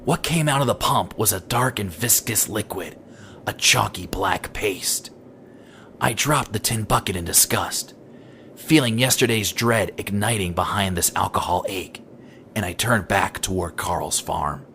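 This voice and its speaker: A male amateur narrator reads scripted first-person horror fiction. His voice sits fairly low and his delivery is deliberate and dramatic. Clear ambient noise runs behind the reading. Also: sharp tone.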